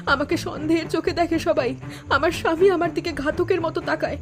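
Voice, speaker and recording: A woman's voice: extremely high pitch, steady tone, fairly quick, soft background music. A woman voicing an anguished young wife in a cartoon drama, earnest and pleading, with emotion in her delivery. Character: anguished young wife, quiet by nature, growing resolute. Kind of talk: Bengali cartoon melodrama voice acting.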